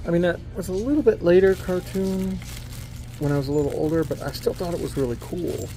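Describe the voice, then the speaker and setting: A middle-aged man with a slightly raspy voice, casually talking to himself as he browses a thrift store and films it. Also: high-pitched, a little fast. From Las Vegas area. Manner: relaxed, muttering to himself while browsing. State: slightly raspy from dust and a cough. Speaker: thrift vlogger.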